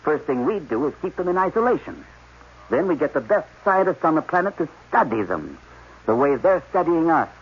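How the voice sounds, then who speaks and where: An actor playing an embittered, fatalistic scientist held captive, speaking emphatically in a 1980s radio drama. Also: male voice, on the high side, big pitch swings, fairly quick, husky, full character voice. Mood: bitter, agitated. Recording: dull, noisy old radio broadcast.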